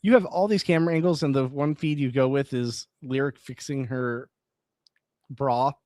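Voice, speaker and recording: A man at a middle pitch hosts a late-night live stream, rambling casually to his viewers and reading their comments aloud. His voice is wearing hoarse after hours of talking. The sound is dry and close, with no room around it. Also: steady tone, normal speed, darkish tone, dry recording. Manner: rambling, reads chat aloud, restarts words. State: voice going hoarse.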